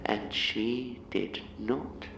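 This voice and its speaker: Horror audio drama: male actor as bitter inhuman creature, menacing, very slow and theatrical, echoey space with some noise. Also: fairly low pitch, fairly even intonation, vocal fry, full character voice. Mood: bitter, mocking. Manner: slow, deliberate, theatrical phrasing with dramatic pauses. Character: uncanny male monster, bitter, menacing, formerly human. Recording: roomy, distant, noisy ambience.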